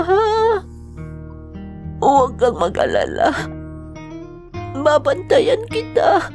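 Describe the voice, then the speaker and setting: A woman playing a grieving mother working abroad, speaking with slow, heartfelt dramatic delivery. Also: extremely high pitch, a little mellow, heavily acted. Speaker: overseas domestic worker. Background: faint guitar music.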